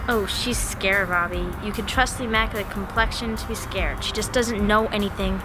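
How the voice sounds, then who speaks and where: A woman's voice: mid-range voice, fairly even intonation, moderate pace, lightly acted. An actress plays a tough, edgy young woman in an audio drama. Her mid-range voice is sneering and impatient, with music playing underneath. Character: snide, edgy young tough girl, smoker.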